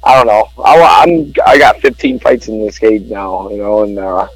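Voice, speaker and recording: A man's voice: lowish voice, expressive, normal speed, no echo, low-quality recording. A man in his thirties chatting casually as a guest, in a hesitant, easygoing and self-effacing way. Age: 30s. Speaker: podcast guest, cage fighter. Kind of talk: casual chat.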